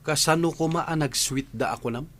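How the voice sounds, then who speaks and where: A man's voice: fairly high pitch, moderate pace, close to an everyday voice. A man playing an exasperated, mocking character who rebukes someone in an audio drama. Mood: incredulous. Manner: mocking, scolding. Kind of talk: Filipino audio drama acting.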